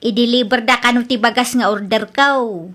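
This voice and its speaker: A woman's voice: on the high side, steady tone, normal speed, a bit bright, lightly acted. A woman voicing an older mother who runs a rice store, in a radio drama. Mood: worried. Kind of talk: Ilocano radio drama acting.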